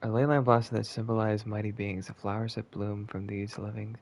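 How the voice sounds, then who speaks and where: A man's voice: fairly low pitch, flat delivery, fairly quick, vocal fry, a little mellow. A man streaming a video game with casual commentary, blunt, sweary and given to exasperation. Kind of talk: casual gaming commentary with reading of on-screen text.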